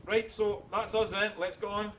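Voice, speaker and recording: A man's voice: high-pitched, flat delivery, moderate pace, a bit bright, slight background noise. Chatty Scottish man in his thirties. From Glasgow, Scotland. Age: 30s. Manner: chatty, self-deprecating, with many ums and uhs. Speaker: farm vlog host. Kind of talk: casual talk to camera.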